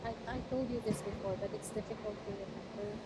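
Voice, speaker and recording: A woman from the Philippines speaks English with a Batangas Tagalog accent, her first language being Tagalog. She talks in a chatty, explaining way in a middle register. The voice call carries a clear layer of background noise. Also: mid-range voice, fairly even intonation, fast. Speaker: girlfriend on a call. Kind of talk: casual chat.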